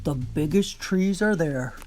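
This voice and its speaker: A calm, helpful mouse father from a picture book, voiced by a woman reader. His voice is mellow and steady, giving gentle advice, with faint music in the background. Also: sits low, unhurried. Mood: advising.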